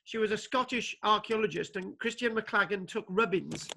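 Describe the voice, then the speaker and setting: High bright voice, guy running a video call lecture, stumbles over his words a lot. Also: moderate pace, creaky. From Wales. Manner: stammering, repeats words, many fillers. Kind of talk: online lecture with discussion.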